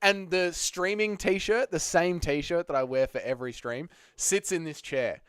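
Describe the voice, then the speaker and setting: A young Australian man hosting a live stream, chatting with viewers in excitable, slangy, sweary banter. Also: on the high side, measured pace, clean tone, no room sound, clean studio sound. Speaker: streamer host.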